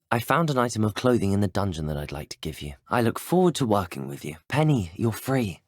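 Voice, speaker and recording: A man's voice: mid-range voice, darkish tone, dry recording, studio quality. An actor playing an earnest, courteous young male student wizard in a video game, speaking formally with a British accent. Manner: formal, well-spoken, courteous. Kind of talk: scripted video game voice lines.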